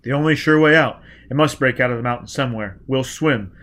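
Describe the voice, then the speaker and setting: Male narrator as hard-driven fugitive convict, gruff and commanding, clipped lines, adventure story reading. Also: mid-range voice, fairly quick, close to an everyday voice. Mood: forceful, impatient. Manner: terse, clipped, forceful, uneducated grammar.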